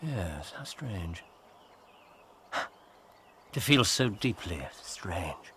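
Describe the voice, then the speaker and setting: An actor playing a brilliant, self-assured male detective in a radio drama, with crisp, precise explaining. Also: low-pitched, sing-song, very slow, fairly bright tone, a little hiss or hum, heavily acted. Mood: reflective.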